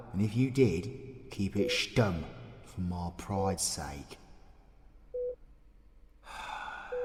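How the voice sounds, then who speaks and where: A British man acting a wounded, proud supervillain in an audio roleplay, muttering and swearing with gruff tenderness and vengeful intensity. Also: sits low, reverberant, big-room sound, noisy background. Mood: embarrassed, insistent, then weary, resolved.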